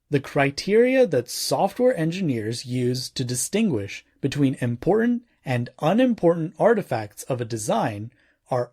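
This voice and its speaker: Guy reading a nonfiction audiobook, kinda husky, mid pitch but moves around a lot, formal and deliberate. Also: darkish tone. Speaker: audiobook narrator. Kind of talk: scripted non-fiction audiobook narration.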